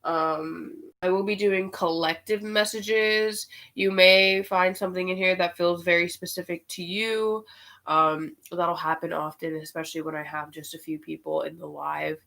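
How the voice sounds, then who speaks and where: Nasal woman with a cold, bright voice. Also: on the low side, steady tone, gravelly. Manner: unhurried, with many "um" fillers. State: sick with a cold. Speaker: spiritual reader and live streamer. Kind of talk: live-streamed spiritual guidance and guided meditation.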